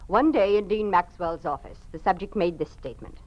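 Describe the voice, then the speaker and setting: Strict dogmatic informer woman, slightly low voice, old radio drama. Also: lively intonation, a little fast, highs cut off. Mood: dutiful reporting. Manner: clipped, dogmatic, insistent. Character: rigid female party informer, doctrinaire and cold.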